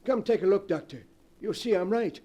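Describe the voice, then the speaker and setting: Timid rustic handyman, high-pitched, mellow, deferential, folksy, 1940s radio play. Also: brisk. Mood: alarmed, uneasy. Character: fearful, deferential rustic handyman named Andrew. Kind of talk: 1940s radio drama acting. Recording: vintage 1940s radio broadcast.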